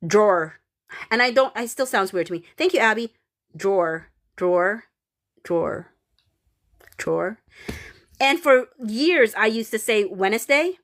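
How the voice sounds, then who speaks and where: A woman's voice: on the high side, lively intonation, unhurried, pauses often, fairly bright tone. Chatty woman with a Filipino accent. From Philippines. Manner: rambling, many fillers and restarts, reads out viewer comments. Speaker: livestream host reading chat. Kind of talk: casual livestream chat.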